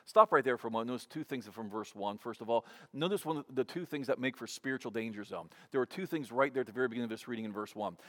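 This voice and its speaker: A man's voice: mid-range voice, racing, few pauses, fairly bright tone. Man in his fifties preaching conversationally. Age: 50s. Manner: conversational preaching, repeats words when restarting. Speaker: pastor. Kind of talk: sermon.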